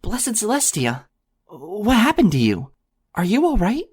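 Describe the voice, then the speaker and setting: A man voicing a kind, refined grown mare pony who fusses over a stranger in a gentle, breathy manner. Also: sits high, wildly swooping pitch, unhurried, pitched above the performer's own voice, exaggerated voice. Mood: alarmed. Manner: gentle, fussing, breathy whispers.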